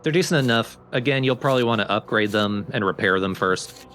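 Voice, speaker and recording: A man's voice: fairly low pitch, a little fast, a bit bright, a little hiss or hum. A man giving casual, explanatory commentary on a video game as he plays. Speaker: let's play commentator.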